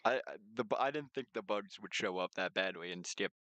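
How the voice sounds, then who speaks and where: A man's voice: on the low side, brisk, sharp tone, dry recording, muffled recording. A man hosting a game stream with casual, playful chat, often breaking off and restarting his sentences. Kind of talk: casual gaming livestream chat, reading game text aloud.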